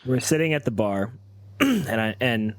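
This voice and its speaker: A man's voice: medium pitch, sing-song, measured pace, no echo. A man playing a tabletop role-playing game, improvising his part in casual, hesitant speech.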